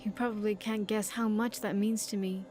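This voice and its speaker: A woman's voice: medium pitch, little pitch movement, moderate pace, a little mellow, slight background noise, natural voice. A woman voicing an earnest, grateful young survivor in a video game, chatty and sincere with slightly stilted phrasing. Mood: reflective, grateful. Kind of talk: video game character dialogue.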